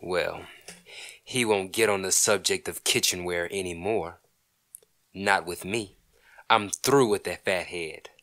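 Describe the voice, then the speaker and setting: A man's voice: low voice, slow pace, long pauses, sharp tone, no room sound, studio quality, heavily acted. A man playing a stubborn, scornful husband in an old-time radio comedy, curt, sardonic and dismissive. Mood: grumpy, curt.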